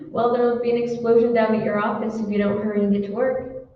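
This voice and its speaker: A woman's voice: a little high, flat delivery, normal speed, natural voice. A woman playing a concerned housewife in an old-time radio drama. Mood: amused. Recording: echoey hall, distant, muffled, faint noise.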